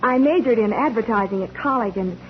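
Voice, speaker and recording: A woman's voice: on the high side, brisk, close to an everyday voice. An actress playing a polite, well-bred young career woman, composed, in 1940s radio drama style. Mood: polite, reserved. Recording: dull, noisy old radio recording.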